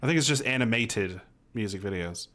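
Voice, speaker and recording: A man's voice: fairly low pitch, lively intonation, brisk, a bit bright. A young man co-hosting a podcast in a casual, conversational style. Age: young adult. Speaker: podcast co-host. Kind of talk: casual banter. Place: room at home, indoors.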